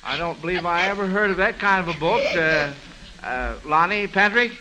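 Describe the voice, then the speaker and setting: A man's voice: sits high, moderate pace, bright, forceful tone, poor audio. A man hosting a 1940s radio quiz for children, conversational, folksy and avuncular. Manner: folksy, chuckling, repeats answers, avuncular toward children. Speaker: radio quiz show host. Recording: vintage radio broadcast, faint noise.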